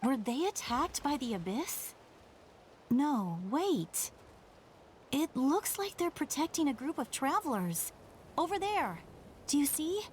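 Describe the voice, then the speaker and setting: A woman's voice: high voice, very animated, slow, pauses often, a little hiss or hum, full character voice. A woman playing an earnest, alert young scout girl in a video game, speaking eagerly. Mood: alert, urgent.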